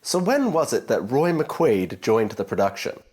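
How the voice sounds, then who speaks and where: A man playing a theatrical, probing stage host in an audio drama, with a deliberate, rhetorical delivery. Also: mid-range voice, big pitch swings, a little mellow, breathy edge. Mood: probing, controlled. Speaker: narrator and on-stage interviewer. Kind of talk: scripted stage narration.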